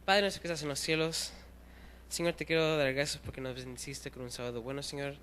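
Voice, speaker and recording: A young man in his twenties, from Chile, gives a youth sermon in a church hall. His voice is high and he tells his stories in a lively, self-deprecating way, mixing in English words. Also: fast talker, slight background noise. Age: young adult. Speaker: youth preacher. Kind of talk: casual storytelling sermon.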